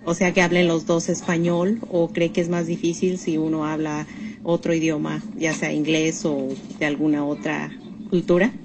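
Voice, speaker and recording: A woman's voice: low-pitched, flat delivery, normal speed. A woman putting survey questions to someone as an interviewer. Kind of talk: reading survey questions to a passer-by. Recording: noisy on-location interview recording.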